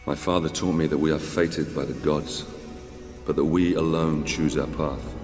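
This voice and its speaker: An actor playing a grave, battle-hardened Roman soldier, narrating his story solemnly in a deep, gravelly voice. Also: fairly even intonation, a little mellow. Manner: solemn, deliberate, restrained. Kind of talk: dramatic game narration. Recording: echoing hall with music.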